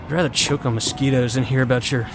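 An actor playing a privileged, brash young son in a first-person audio drama, wry and mouthy. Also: male voice, mid-range voice, steady tone, fast talker, noisy background, lightly acted. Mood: sullen, defiant.

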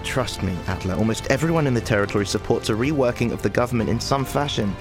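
An actor playing an irritable, protective advisor to a young sorceress, blunt and sarcastic, in a fantasy audio drama. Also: male voice, medium pitch, quick pace, over music, lightly acted. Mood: grave, frustrated.

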